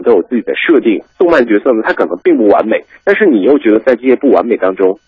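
A man's voice: fairly low pitch, breathy edge, dry recording, dull audio. A man hosting a radio show, giving chatty, explanatory commentary with frequent rhetorical questions. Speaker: radio host.

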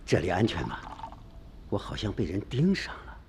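A man's voice: sits low, huge pitch swings, moderate pace, a little hiss or hum, heavily acted. A man playing a shrewd, wary relic dealer. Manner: cautious, bargaining, wheedling. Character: wary, shrewd male antiques dealer, middle-aged. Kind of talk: radio drama acting.

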